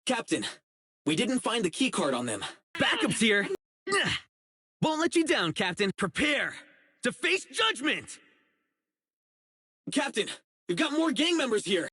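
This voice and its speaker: A man's voice: very high-pitched, huge pitch swings, a little slow, pauses often, pushed and bright, husky, no room sound, studio quality, exaggerated voice. An actor playing an earnest, righteous young male public security officer in a video game, speaking emphatically. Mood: earnest, indignant, then eager, commanding. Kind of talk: video game character voice acting.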